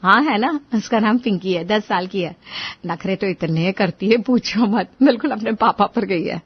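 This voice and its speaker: A woman playing a tired young mother, plaintive, conversational, mid-pitched, cartoon story, dry dull audio. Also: moderate pace, husky, no echo, lightly acted. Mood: chatty. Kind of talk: Hindi moral-story cartoon dubbing.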